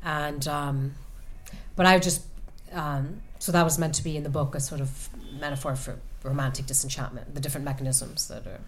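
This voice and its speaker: A woman author answering audience questions about her novel, speaking thoughtfully with many pauses and restarts. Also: low voice, steady tone. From United States. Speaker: author at a reading. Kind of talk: book talk and audience Q&A. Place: event room with an audience.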